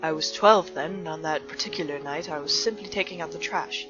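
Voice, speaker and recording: A woman voicing a formal, gentle adult male fire-monster bartender in a fan comic dub, speaking politely without contractions. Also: sits low, brisk, dull audio, over music, natural voice. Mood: wistful.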